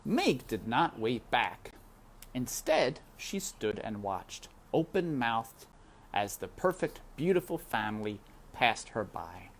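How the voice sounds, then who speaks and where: Low-pitched, very animated woman storyteller. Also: takes it slowly. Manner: animated, addresses listeners as friends. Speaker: read-aloud storyteller. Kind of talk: expressive children's book narration with casual asides. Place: outdoors in wind. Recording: windy outdoor ambience noise.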